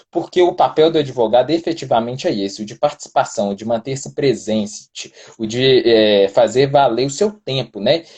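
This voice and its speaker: A man from Brazil speaks as a guest lawyer over a phone on a live stream. His voice is husky and mellow, and he rambles in a wordy, joking, self-mocking way. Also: mid-range voice. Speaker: criminal defense lawyer, guest. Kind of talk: casual live-stream interview.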